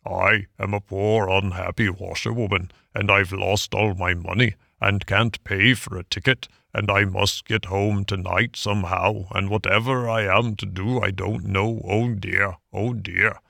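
Guy doing a weepy storybook toad pretending to be a poor washerwoman, low creaky voice, pleading and feeling sorry for itself. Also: steady tone, a little slow, few pauses, bright, forceful tone, no room sound, studio quality, heavily acted. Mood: tearful, pleading. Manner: tearful, wheedling lament. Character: self-pitying toad posing as a poor washerwoman.

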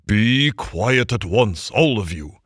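A man voicing a stern older male badger in a storybook, gruffly kind, with a dry, weighty, commanding manner. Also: fairly low pitch, unhurried, a bit bright, no echo. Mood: severe. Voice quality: thin, dry. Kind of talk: storybook character voice.

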